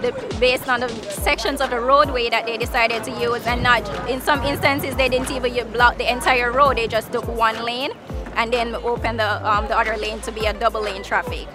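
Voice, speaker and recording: A young woman speaking in a measured, articulate way in a street interview outdoors. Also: fairly high pitch, fairly even intonation, music in the background. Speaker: run participant. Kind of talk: street vox pop interview. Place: busy city street outdoors in Jamaica.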